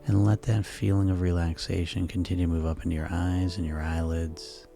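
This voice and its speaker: A man guiding a sleep hypnosis session in a slow, soothing, repetitive way. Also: low voice, level tone, vocal fry, mellow. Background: music.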